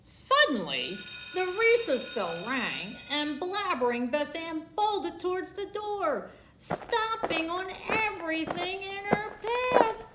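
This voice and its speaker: High-voiced, very animated woman storyteller. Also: slow, hardly any pauses, heavy background noise. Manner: theatrical, asks young listeners questions. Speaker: library storytime reader. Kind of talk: expressive children's storybook read-aloud. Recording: echoey room.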